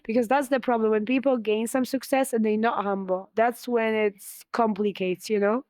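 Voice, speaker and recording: A woman's voice: a little high, fairly even intonation, normal speed, darkish tone, dry recording. A young woman with a Polish accent, chatting as an interview guest, filling her talk with "you know" and speaking earnestly. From Poland. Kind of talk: casual interview chat.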